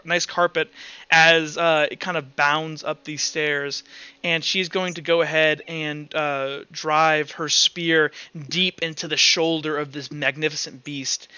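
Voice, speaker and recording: Bright, high-pitched man running a tabletop game. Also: smooth, dry recording, dull audio. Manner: casual, slangy. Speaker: game master. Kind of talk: narrating a tabletop roleplaying game.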